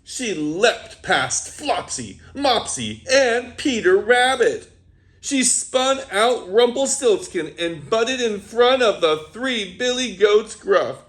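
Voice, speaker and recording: A man reads a picture book aloud for young children, telling it as an animated storyteller. His voice sits high and swoops widely, and he keeps an unhurried pace. He stops now and then to talk to his young listeners. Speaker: children's read-aloud host.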